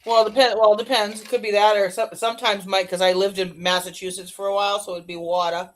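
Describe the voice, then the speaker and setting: A woman with a middle-pitched voice co-hosts a relaxed live chat. She jumps in with fast, teasing asides. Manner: quick, joking asides. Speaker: co-host. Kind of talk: casual chat.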